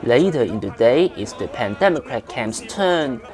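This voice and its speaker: A man's voice: mid-range voice, big pitch swings, measured pace, crowd noise. A man reading a scripted news report as a correspondent.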